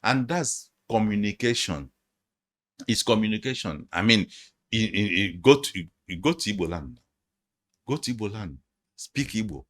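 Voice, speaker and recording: A man's voice: lowish voice, animated, normal speed, fairly bright tone. A man, a guest analyst in a conversational interview, explaining emphatically and repeating his phrases.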